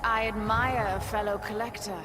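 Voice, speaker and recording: A woman's voice: medium pitch, little pitch movement, moderate pace, lightly acted. A woman playing a fierce, vengeful half-beast cat huntress in a video game, menacing and taunting in manner. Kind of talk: dramatic video game acting. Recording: game audio over loud music.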